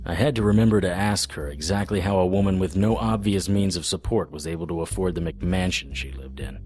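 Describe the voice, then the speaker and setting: An actor playing a tough, wry occult investigator in a hardboiled radio drama, guarded and gruff in manner. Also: male voice, sits low, fairly quick, a little mellow, faint music behind, close to an everyday voice. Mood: wry, reflective. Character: tough, wry male occult investigator, narrating his own cases.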